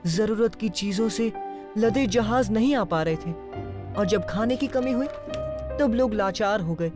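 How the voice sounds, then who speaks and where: A woman's voice: medium pitch, unhurried, lightly acted. A woman voicing a friendly young townswoman who explains things like a storyteller in a cartoon dub. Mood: explaining. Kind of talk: animated series dub. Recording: clear music behind the voice. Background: music.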